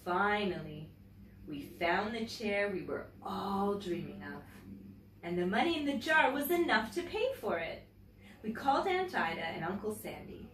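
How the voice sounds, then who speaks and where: A woman teacher reading a picture book aloud to children in an expressive storytelling manner, pausing to comment and ask questions. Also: mid-range voice. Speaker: teacher and story-time host. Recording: roomy, distant mic, faint background noise.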